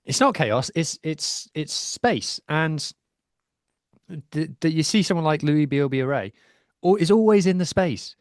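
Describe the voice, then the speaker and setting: A man's voice: a little high, lively intonation, measured pace, dry recording. Chatty man in a dry home studio. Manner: chatty, explanatory, self-deprecating. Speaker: podcast co-host. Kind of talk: casual chat and rugby analysis.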